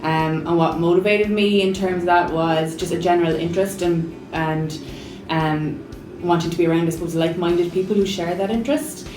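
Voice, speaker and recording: A woman's voice: lowish voice, steady tone, normal speed, recorded from a distance, background noise. Hesitant woman with many ums, roomy sound. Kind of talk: personal story in an interview.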